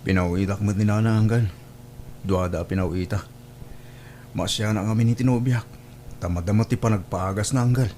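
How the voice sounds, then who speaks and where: Low-voiced, dutiful young Ilocano man acted out. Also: fairly even intonation, normal speed, pauses often, a little mellow, slight background noise, close to an everyday voice. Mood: deferential, troubled. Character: dutiful, weary young working man, evasive boyfriend. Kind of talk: Ilocano audio drama acting.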